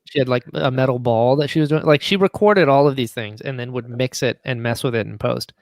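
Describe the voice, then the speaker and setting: A man's voice: mid-range voice, a little fast, dry recording. A man chatting casually and enthusiastically as a podcast guest, telling production stories and acting out quoted remarks. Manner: enthusiastic, acts out quotes mid-story. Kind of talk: casual animated interview chat.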